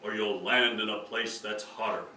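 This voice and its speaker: An actor playing a gloomy, darkly joking town undertaker in an old-time radio comedy, with a drawn-out, ominous delivery. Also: male voice, fairly low pitch, steady tone, natural voice. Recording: echoey stage from far off, loud noise.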